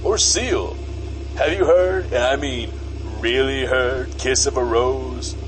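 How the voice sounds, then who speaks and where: A man playing a laid-back, fast-talking trickster devil in an audio comedy drama, slick and slangy, with vehicle rumble behind him. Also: close to an everyday voice. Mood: casual, chummy.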